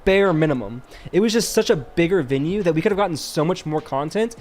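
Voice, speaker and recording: A man's voice: fairly high pitch, expressive, a little fast, a little mellow. A man co-hosting a podcast in a casual, slangy chat, often repeating himself for emphasis. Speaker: podcast co-host.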